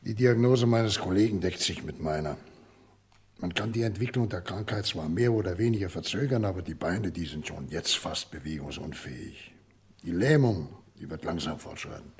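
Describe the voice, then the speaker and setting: An actor playing a paternal older family doctor in a radio play, husky, grave and consoling. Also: male voice, low-pitched, animated, normal speed, darkish tone, heavily acted.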